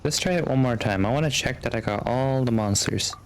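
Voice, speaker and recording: A woman's voice: extremely low pitch, brisk, a little hiss or hum. A woman game streamer with a casual, wry manner, reading dialogue and reacting live. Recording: game music behind the voice.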